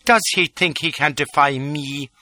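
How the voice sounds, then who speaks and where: A man playing a husky, imperious noblewoman. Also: fairly high pitch, a little slow, sharp tone, voice pushed up. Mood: contemptuous. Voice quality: rich, husky. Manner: contemptuous, imperious. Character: haughty furious woman, royal favourite.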